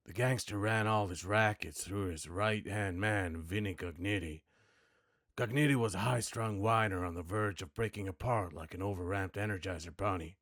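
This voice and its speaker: Low-voiced man, dry and musing. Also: normal speed, a bit bright, no room sound. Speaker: video creator practicing a character voice. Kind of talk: noir narration practice mixed with improvised game commentary.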